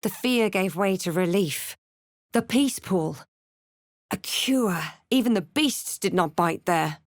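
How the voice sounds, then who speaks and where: A woman playing a brooding fantasy adventurer who recounts her journey in measured, literary first-person game narration. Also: mid-range voice, animated, no echo, studio quality. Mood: measured, solemn. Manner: measured, reflective, literary storytelling.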